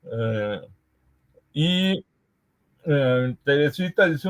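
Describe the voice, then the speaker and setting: A man from Veracruz with a Mexican accent, moderating a session in a casual, hesitant way and pausing often as he searches for words. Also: mid-range voice. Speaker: host of a history conference session. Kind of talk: casual moderating, reading audience comments aloud.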